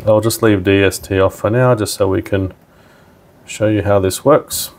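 Man, low gravelly voice, informal step-by-step tutorial, product demonstrator. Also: fairly even intonation, normal speed, a little mellow. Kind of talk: hands-on tutorial narration.

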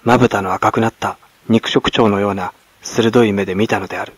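A man from Kagoshima, Japan, narrating classic literature as a dramatic audiobook in a grave, measured manner. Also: on the low side, steady tone, slightly rough, no room sound. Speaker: actor, narrator. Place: at home, close and dry.

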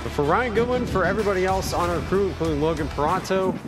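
A man's voice: high voice, lively intonation, fast, over loud music. A man who hosts a college sports broadcast, interviewing a coach, reading sponsors and wrapping up the game in an upbeat style.

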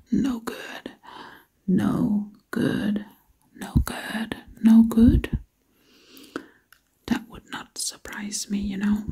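A woman doing ASMR storytelling in a hushed, breathy whisper, lingering on words and repeating them. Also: medium pitch. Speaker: ASMR creator.

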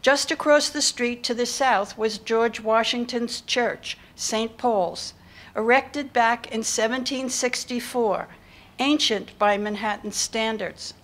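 Older lady reading history narration from a script, slightly high voice. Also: steady tone, takes it slowly, a bit bright. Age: 60s. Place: lecture hall stage before a seated audience. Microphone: podium microphone.

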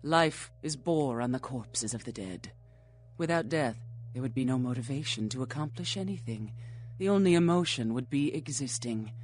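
A woman's voice: very deep voice, lively intonation, moderate pace, a little mellow. A woman playing a morbid, scholarly vampire in a video game, speaking in formal, solemn, archaic phrases with cold philosophy. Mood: philosophical, solemn. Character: morbid scholarly female vampire flesh-eater, coldly philosophical. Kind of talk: scripted video game dialogue.

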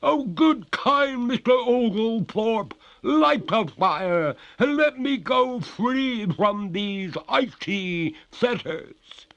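A man's voice: very high-pitched, lively intonation, fairly bright tone, dull audio, voice pushed up, full character voice. A man voicing a genteel female water ghost who speaks in formal, pleading, ornate phrases. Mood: desperate pleading. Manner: formal, ornate, drawn-out speech.

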